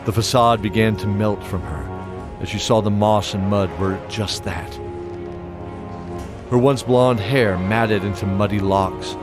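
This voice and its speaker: Guy narrating fantasy audio drama, deepish voice, measured phrases, big music underneath. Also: fairly even intonation.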